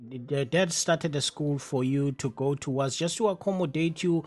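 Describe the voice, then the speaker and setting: Enthusiastic halting male radio host. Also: a little high, darkish tone. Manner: enthusiastic, halting with many fillers. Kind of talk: radio interview.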